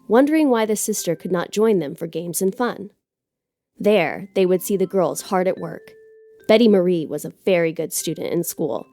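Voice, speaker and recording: A woman reading a scripted story aloud as a narrator, measured and articulate. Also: lowish voice, mellow. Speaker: guest story reader.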